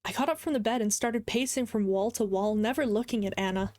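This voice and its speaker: A woman voicing a hurt, angry male visual novel narrator in a measured, brooding way. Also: fairly high pitch, darkish tone, dry recording, clean studio sound, natural voice. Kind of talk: dramatic first-person story reading.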